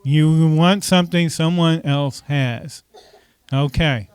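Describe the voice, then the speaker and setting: A man's voice: fairly high pitch, slow, clear, resonant tone, dry recording. A man preaching a conversational sermon as pastor, often asking the congregation questions and saying "okay" between points.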